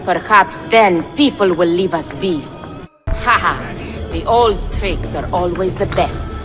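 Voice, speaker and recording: A woman's voice: fairly low pitch, expressive, takes it slowly. An actress playing a proud, sly Romani woman leader in a video game, speaking in a pointed, theatrical way. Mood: sly, scheming. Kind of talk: cinematic video game acting. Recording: music behind the voice.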